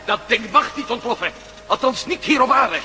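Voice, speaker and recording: High-voiced urgent hero. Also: male voice, lively intonation, normal speed. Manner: urgent and practical. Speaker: Matt Meldon, hero of the series. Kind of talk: radio play acting. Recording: noisy old radio play recording.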